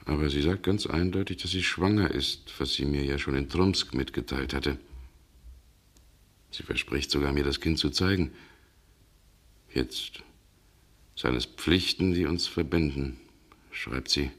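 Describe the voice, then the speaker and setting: Very deep, husky, brooding gentleman. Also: a little slow, pauses often, exaggerated voice. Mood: shaken, solemn. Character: brooding, haunted Russian gentleman recounting past dangers. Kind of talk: 1960s German radio drama acting. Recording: 1960 radio recording, some background noise.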